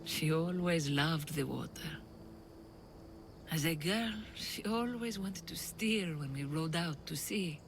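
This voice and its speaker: An actress playing an earnest older mother and refugee leader in a video game, measured and wistful. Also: low voice, animated, music in the background, full character voice. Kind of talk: video game cutscene acting.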